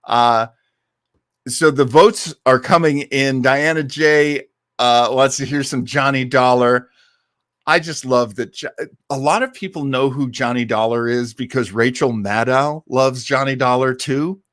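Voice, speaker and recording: A man's voice: mid-range voice, unhurried, fairly bright tone, no echo, studio quality. An American man hosting a casual livestream, chatting to listeners in an enthusiastic, rambling, conversational way. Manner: enthusiastic, effusive about favourites. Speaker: host of an old-time radio listening livestream.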